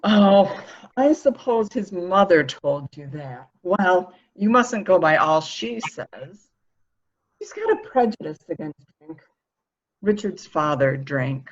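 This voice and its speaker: A woman's voice: on the low side, expressive, slow, dull audio. A woman playing an evasive, protective woman in a murder mystery reading, defensive and primly indignant. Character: evasive, protective woman guarding an excitable boy.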